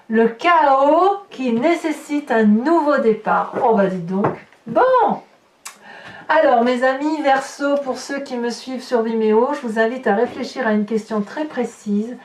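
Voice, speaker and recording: A woman improvising a tarot reading for her viewers, breaking into exclamatory, astonished asides as she comments on the cards. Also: a little high. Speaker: tarot reader and channel host. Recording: roomy echo, distant mic, background noise.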